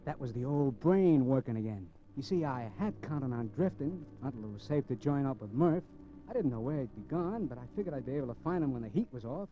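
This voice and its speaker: A man's voice: mid-range voice, expressive, a little fast, natural voice. An actor playing a cocky, restless drifter crook in a 1950s radio crime drama, with slangy, wisecracking tough-guy talk. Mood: reflective narration. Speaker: radio drama actor, lead and first-person narrator. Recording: muffled vintage 1950s radio recording, faint music.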